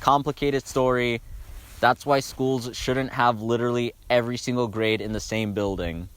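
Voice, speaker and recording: A man's voice: mid-range voice, steady tone, moderate pace, noisy background. A young man talking casually and bluntly about songs as a video host, swearing freely.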